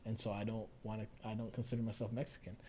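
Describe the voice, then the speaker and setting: A man's voice: lowish voice, little pitch movement, quick pace, warm tone, a little hiss or hum. An American man co-hosting a podcast, talking casually and explaining his views in an earnest way. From United States. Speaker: podcast co-host. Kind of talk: casual conversational discussion.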